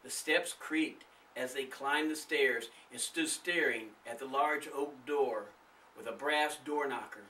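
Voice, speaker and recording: A man's voice: mid-range voice, slow, bright, forceful tone, a little hiss or hum. A man reading his own spooky story in a dramatic storytelling style. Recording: echoey room, distant microphone.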